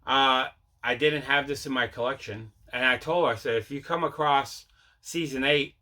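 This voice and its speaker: A man's voice: mid-range voice, steady tone, moderate pace, pushed and bright. A man hosting a casual vlog about his collection, rambling and repeating himself as he talks. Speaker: host of a mail day collecting vlog. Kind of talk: casual chat showing movie pickups.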